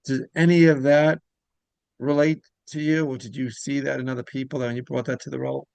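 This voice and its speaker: A man's voice: mid-range voice, little pitch movement, a little fast, vocal fry, a little mellow, clean tone, no echo. A man interviewing a guest as show host, conversational and casual, with loose, rambling questions.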